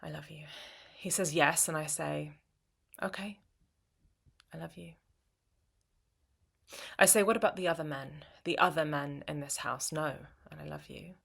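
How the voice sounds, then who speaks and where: Young woman, deep gravelly voice, for intimate dramatic spoken word. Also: steady tone, unhurried, long pauses, a bit bright. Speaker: poetry performer.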